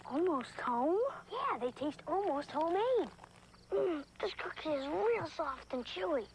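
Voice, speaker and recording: A woman's voice: extremely high pitch, very animated, unhurried, a little hiss or hum, exaggerated voice, poor audio. A woman acting in a cookie commercial, chatty and cheerful. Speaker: commercial actor.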